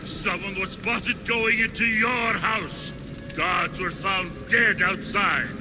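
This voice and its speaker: A man's voice: high voice, takes it slowly. A man voicing a violent, bullying cult enforcer in a video game cutscene. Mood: threatening. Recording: loud music over the voice.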